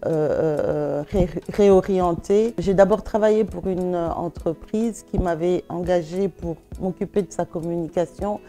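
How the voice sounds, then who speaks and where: Mellow, low-voiced middle-aged woman being interviewed. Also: unhurried, few pauses, soft background music. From Belgium, of West African family. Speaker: interview guest, perfume shop owner. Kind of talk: spontaneous conversational interview answers.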